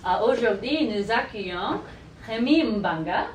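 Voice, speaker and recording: A woman from South Korea, a student interviewer, asking questions carefully and haltingly while searching for words. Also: a little high, expressive. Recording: echoey room, distant mic, faint noise.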